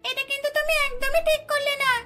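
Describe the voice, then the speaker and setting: A woman's voice: extremely high pitch, flat delivery, fast, bright, forceful tone, soft background music, voice pushed up. A woman voicing a haughty, greedy young sister-in-law in a cartoon, whiny, taunting and bossy. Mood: indignant, mocking.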